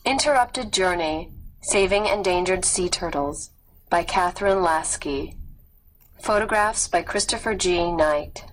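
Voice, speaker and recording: A woman with a slightly low voice narrates a nonfiction story in a measured, expressive read-aloud style. The recording carries faint background noise. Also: low-quality recording. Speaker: audiobook narrator.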